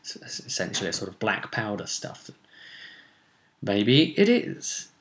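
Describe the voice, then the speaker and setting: A man's voice: fairly low pitch, big pitch swings, a little slow. A man casually recapping a tabletop role-play session as game master, unscripted and conversational, wandering off into asides. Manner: rambling, conversational, with many asides.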